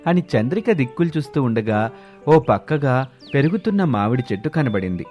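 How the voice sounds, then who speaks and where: A man's voice: mid-range voice, big pitch swings, brisk, a little mellow. A man narrating a scripted story as its storyteller. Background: background music.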